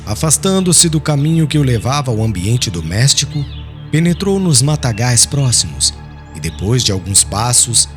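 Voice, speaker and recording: A man with a Brazilian accent reading dramatic, solemn audiobook narration, with music playing behind him. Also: medium pitch. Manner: solemn, expressive.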